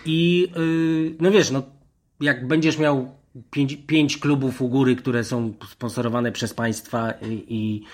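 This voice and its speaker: A man's voice: mid-range voice, fairly even intonation, takes it slowly, a little mellow. Middle-aged man, digressive football pundit. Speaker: football journalist, panelist.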